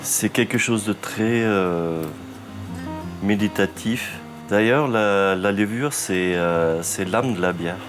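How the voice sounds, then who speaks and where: Brewer, unhurried, pause-heavy, low voice over a guitar music bed. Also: male voice. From Bavaria, Germany. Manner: slow and halting, many pauses and fillers. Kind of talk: interview.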